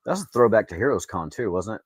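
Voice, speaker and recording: A man's voice: on the low side, expressive, fast, slightly rough, no room sound, clean studio sound. A man co-hosting a casual chat, riffing jokingly in mock-grand fashion. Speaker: show co-host.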